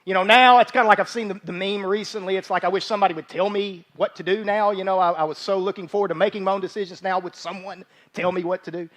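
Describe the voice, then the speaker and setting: High-pitched man preaching in a conversational style. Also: steady tone, fast, a bit bright. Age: 40s. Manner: folksy, informal, repeats phrases for emphasis. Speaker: church pastor teaching. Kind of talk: conversational sermon with joking asides. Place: church service, speaking live from a stage.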